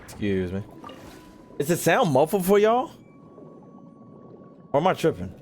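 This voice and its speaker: A man's voice: on the high side, huge pitch swings, a little slow, darkish tone. Young guy streaming a game, slangy n jokey, voice goes up and down a lot, faint music. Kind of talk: casual live gameplay commentary.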